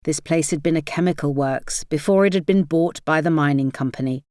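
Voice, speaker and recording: A woman's voice: sits low, fairly quick, a little mellow, dry recording, studio quality. A woman reading a crime novel aloud as scripted audiobook narration.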